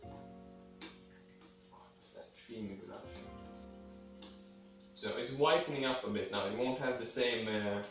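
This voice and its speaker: Young dude with a cold, sniffly, mid pitch, rambling casual cooking talk, faint music behind. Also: male voice, slow pace, long pauses. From Sweden. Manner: rambling, offhand, swears casually. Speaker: home cooking video host. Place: home kitchen. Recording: echoey room, mic at a distance, faint music.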